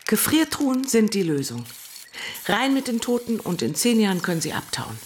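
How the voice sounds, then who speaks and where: An actress playing a brisk, practical, scheming manager of a home in a darkly comic radio drama. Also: female voice, mid-range voice, very animated, a little hiss or hum, natural voice. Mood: matter-of-fact.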